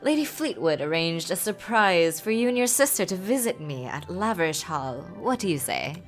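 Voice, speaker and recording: A woman's voice: lowish voice, very animated, moderate pace, faint music behind. A woman voicing a genial, still lovestruck older uncle from a game, with genteel phrasing. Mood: inviting. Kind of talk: reading game dialogue aloud.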